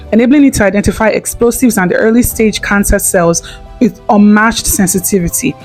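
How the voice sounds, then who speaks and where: Woman host, casual narration, emphatic and repetitive, mid pitch. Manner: lively, repeats herself for emphasis. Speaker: channel host.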